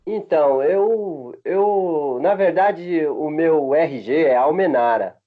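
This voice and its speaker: A man in his fifties from Minas Gerais with a Minas Gerais Brazilian accent, telling stories as a former footballer in a relaxed interview, chatty and often laughing between halting restarts. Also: on the high side. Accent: Minas Gerais Brazilian Portuguese. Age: 50s. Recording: dull lo-fi sound.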